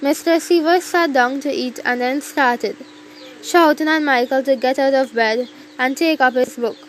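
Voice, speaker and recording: A woman's voice: high-pitched, normal speed, a little hiss or hum, low-quality recording. This is a female narrator. She reads a scripted first-person story, with faint ambience in the recording.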